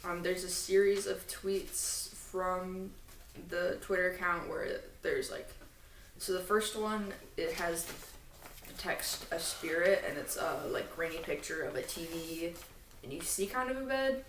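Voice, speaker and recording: Lowish voiced woman on a casual podcast, explaining stuff fan style w asides, echoey room kinda far from mic. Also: unhurried, fairly bright tone. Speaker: podcast co-host. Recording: echoey room, distant mic, faint noise.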